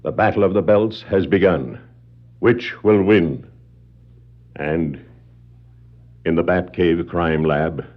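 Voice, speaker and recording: Slow, low-voiced dramatic male narrator. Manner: suspenseful, deliberate, dramatic pauses. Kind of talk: 1960s radio-style dramatic scripted narration. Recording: dull 1960s vinyl record sound.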